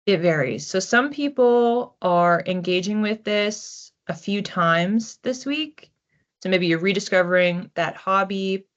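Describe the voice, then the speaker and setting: A woman's voice: on the low side, a bit bright, lo-fi sound. A woman hosting a psychic card reading in a casual, conversational way, thinking aloud with informal phrasing as she addresses her audience. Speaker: psychic reader hosting a weekly collective reading.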